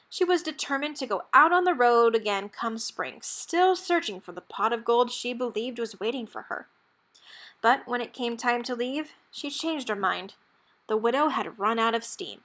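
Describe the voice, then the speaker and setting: Female storybook narrator, higher-pitched voice, expressive and measured read-aloud delivery. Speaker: storybook reader. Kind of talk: read-aloud narration of a children's picture book.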